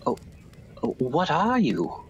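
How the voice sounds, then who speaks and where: A man playing an astonished, blustering gentleman in a radio play, spluttering and exclaiming in disbelief. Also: fairly high pitch, huge pitch swings, takes it slowly, dull audio, a little hiss or hum, exaggerated voice. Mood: incredulous.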